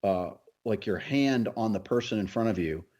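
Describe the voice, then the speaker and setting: A man's voice: lowish voice, moderate pace, dark tone. A middle-aged man co-hosting a podcast, telling stories in casual conversation. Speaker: podcast co-host. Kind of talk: casual chat, storytelling.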